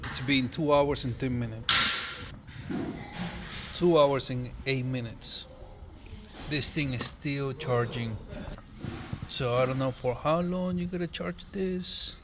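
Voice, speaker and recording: Man, mid-pitched voice, casual unscripted reviewer, halting, offhand, thinking aloud, very noisy recording. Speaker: amateur product reviewer. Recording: loud hum and background noise.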